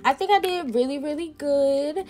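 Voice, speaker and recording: A woman's voice: sits high, normal speed, darkish tone, soft background music. A young woman with a congested, stuffy nose, chatting casually to viewers through a nail tutorial. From Texas. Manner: chatty, addresses viewers as y'all. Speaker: nail technician.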